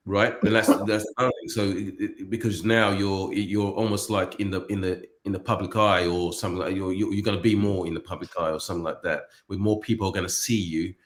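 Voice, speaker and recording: A man in a casual panel discussion, speaking haltingly and often repeating and rephrasing his words. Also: low-pitched, fairly even intonation. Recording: echoey room sound.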